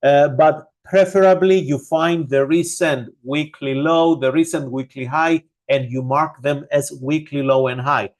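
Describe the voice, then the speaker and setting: Slow-talking Greek-accented man. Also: on the high side, fairly even intonation. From Greece. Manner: unhurried, many fillers, addresses viewers as guys. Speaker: forex analyst hosting a live stream. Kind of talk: live-stream market analysis with viewer questions.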